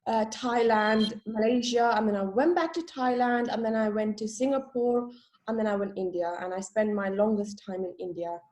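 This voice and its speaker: A woman's voice: on the high side, fairly even intonation, normal speed, darkish tone. A young woman from Sri Lanka, a guest in a casual interview, talking openly and chattily and laughing at herself.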